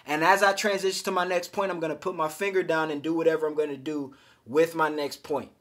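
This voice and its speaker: High-pitched guy giving pep-talk advice, slangy, cussing, calls you G and fellas. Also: quick pace. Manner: energetic, profane, slangy, addresses viewers as G and fellas. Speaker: self-improvement coach. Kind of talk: casual coaching monologue to camera.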